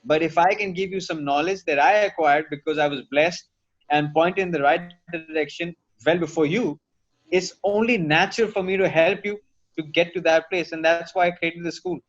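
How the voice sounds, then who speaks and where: Male guest, earnest, methodical, slightly raised pitch, dull lo-fi remote audio. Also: fairly even intonation, normal speed. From Pakistan. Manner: earnest, lays points out step by step, dry joking asides. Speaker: dentist and teacher, podcast guest. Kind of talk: reflective interview answers.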